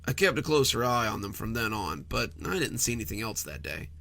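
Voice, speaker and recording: A man's voice: lowish voice, sing-song, a little fast, vocal fry, sharp tone. A man reading a scripted first-person horror story in a conversational storytelling manner.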